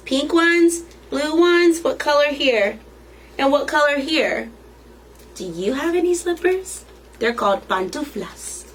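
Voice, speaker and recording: A woman's voice: high voice, a little hiss or hum. A woman reading a children's picture book aloud as a storytime reader, expressively and with questions to the listeners.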